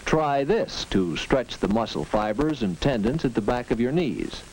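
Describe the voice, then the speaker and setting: A man narrates a vintage instructional film in an earnest, teacherly way. His voice rises and falls with lively expression. The old soundtrack carries a faint hiss and occasional clicks. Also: mid-range voice, normal speed, poor audio. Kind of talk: 1940s educational film narration.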